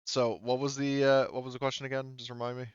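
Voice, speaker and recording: A man running a tabletop roleplaying game as game master, narrating off the cuff in a halting, think-aloud way. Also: mid-range voice, flat delivery, clean tone, no echo, lo-fi sound.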